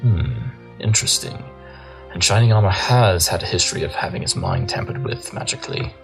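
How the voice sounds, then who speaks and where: A man voices an eccentric cartoon pony detective with a deep voice. He speaks in a breezy, theatrical, self-assured way, with music faintly behind him. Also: huge pitch swings, brisk, vocal fry, heavily acted. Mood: intrigued, breezy. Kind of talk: fan audio drama acting.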